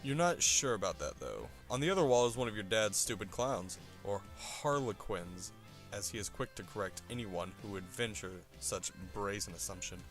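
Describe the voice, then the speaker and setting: A man's voice: lowish voice, animated, normal speed, soft background music. A man reading scripted comic narration in a wry, theatrical manner.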